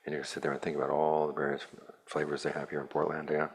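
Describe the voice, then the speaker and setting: A man, a Buddhist monk, giving a plainspoken, measured dharma talk to a retreat group with a dry, wry touch. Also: extremely low pitch, completely flat delivery, vocal fry, slightly rough.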